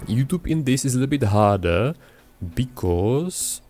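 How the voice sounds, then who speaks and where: A man's voice: medium pitch, lively intonation, a little slow, darkish tone, soft background music. A young man, not a native English speaker, rambling through casual gameplay commentary with many fillers and restarts. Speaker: gaming YouTuber.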